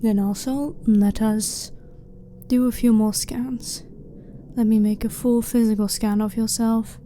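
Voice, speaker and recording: Very mellow, blunt alien scientist played by a woman. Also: a little high, flat delivery, lightly acted. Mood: calm, polite. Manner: blunt, formal, matter-of-fact, measured. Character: blunt, clinical but harmless female alien scientist. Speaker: ASMR voice performer. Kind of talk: ASMR sci-fi roleplay. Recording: clearly noisy ambient background.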